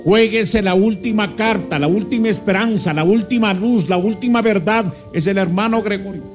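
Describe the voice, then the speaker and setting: A man hosting a faith-healing radio program, pitching it in an emphatic preacher style full of repeated lists. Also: moderate pace, faint music behind.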